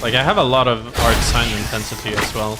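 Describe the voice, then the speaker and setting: A man's voice: mid-range voice, steady tone, a little fast. A man streaming a video game, casually thinking aloud as he comments on his play for himself and viewers. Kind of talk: casual live gameplay commentary. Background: game music.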